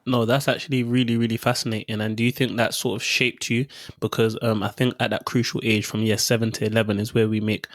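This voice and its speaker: Even-toned male podcast host. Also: lowish voice, quick pace, hardly any pauses, no echo, clean studio sound. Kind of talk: scripted podcast intro, then interview questions.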